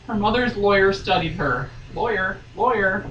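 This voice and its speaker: A woman's voice: medium pitch, moderate pace, a bit bright, muffled recording. A woman doing a dramatic reading with playful, joking asides, recorded in a room at some distance from the mic. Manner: theatrical, joking. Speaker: guest reader. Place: sewing room, indoors. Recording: echoey room, distant mic, clear noise.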